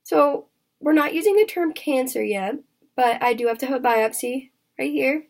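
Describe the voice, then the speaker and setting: A young woman in her twenties is talking openly with her vlog audience. Her voice sits high and has a gravelly, raspy edge. She speaks in halting stretches, full of fillers and restarts. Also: lively intonation, a little mellow. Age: 20s. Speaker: vlogger. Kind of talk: candid personal vlog chat.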